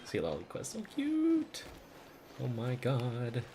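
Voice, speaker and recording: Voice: medium pitch, huge pitch swings, takes it slowly, noisy background. A game streamer chatting casually on a livestream, sometimes gushing and sometimes murmuring to themself while working. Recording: music playing behind the voice.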